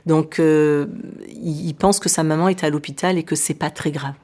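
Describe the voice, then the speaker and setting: A woman's voice: low voice, steady tone, normal speed, darkish tone. A middle-aged woman born in France, with Arabic as her first language, speaking as a local elected official in a radio interview, hesitant with many fillers and restarts. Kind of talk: radio interview, recounting events.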